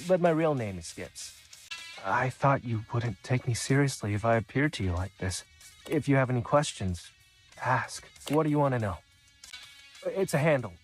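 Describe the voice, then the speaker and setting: A man voicing an awkward, friendly, nerdy shadow creature in a voice drama. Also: mid-range voice. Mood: sheepish. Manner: sheepish, halting, earnest. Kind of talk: character voice acting audition reel.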